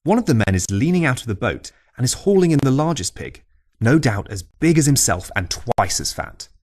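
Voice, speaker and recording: A male narrator reads local history in a wry, storytelling way. His mid-pitched voice moves freely up and down, giving the scripted lines a very animated shape. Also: fairly quick. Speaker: audiobook narrator.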